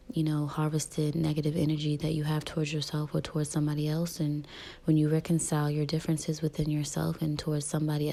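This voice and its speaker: A woman with a low, gravelly voice talks through a casual tarot reading. She speaks softly, close to a whisper at times, with plenty of ums, and her tone stays level and mellow. A touch of morning roughness from allergies sits in her throat. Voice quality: raspy. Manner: soft, breathy half-whispered delivery with frequent ums. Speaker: tarot reader.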